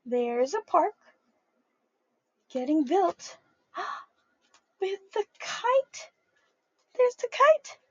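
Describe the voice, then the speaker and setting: A young woman reading a picture book aloud to young children in a sing-song, animated way, calling them her friends. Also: very high-pitched, drawn-out words, pauses often, dry recording, highs cut off. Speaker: early childhood storyteller.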